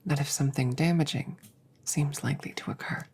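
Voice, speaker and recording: A woman voicing a gentle, stoic female android in an ASMR audio roleplay, whispering breathily with formal, precise, measured phrasing. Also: sits low, fairly even intonation, husky, full character voice. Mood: measured, clinical.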